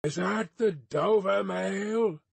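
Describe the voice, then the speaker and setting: A man voicing a blunt, dogged mounted messenger in a storybook, hoarse and calling out. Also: fairly high pitch, lively intonation, takes it slowly, no room sound, pitched above the performer's own voice, heavily acted. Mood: blunt, insistent. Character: hoarse horseback messenger shouting through mist, blunt and dogged.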